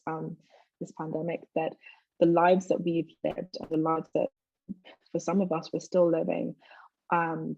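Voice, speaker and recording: A young woman with a London accent, a native Twi speaker, speaks in a reflective, motivational way. Her lowish voice has a lot of fry and stays quite even, on a roomy call recording. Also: highs cut off. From London, England. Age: 20s. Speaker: guest speaker. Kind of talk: personal motivational talk and reflective answers.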